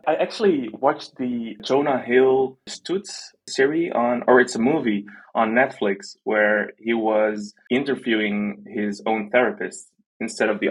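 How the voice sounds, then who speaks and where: Husky, low-voiced young man. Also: poor audio. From Netherlands. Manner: self-deprecating, jokey. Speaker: interview guest, art platform team member. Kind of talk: casual podcast conversation.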